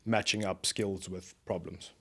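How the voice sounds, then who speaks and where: A man in his forties from South Africa with a softened South African accent, a guest on a podcast who explains his work at length with frequent fillers. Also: low voice, flat delivery, unhurried. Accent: South African, softened by years in America. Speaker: guest, beef research director. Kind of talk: casual podcast interview.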